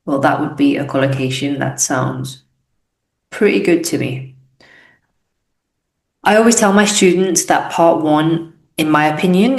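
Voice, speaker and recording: A low-pitched young woman from the UK, working through an English exam aloud like a teacher. She explains each choice as she goes and sometimes corrects herself. The recording has a noticeably echoey room sound. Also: a little mellow. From United Kingdom. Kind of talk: think-aloud exam walkthrough.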